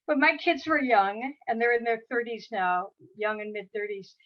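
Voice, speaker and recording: A woman's voice: fairly high pitch, moderate pace. A woman in her sixties, a guest author talking casually over a video call, chatty and rambling with many restarts. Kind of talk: casual conversation. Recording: dull lo-fi audio.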